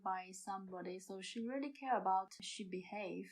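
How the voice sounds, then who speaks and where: A woman answering casual interview questions as a guest, with hesitant, halting non-native phrasing. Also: mid-range voice. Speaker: podcast guest, amateur audio play actor.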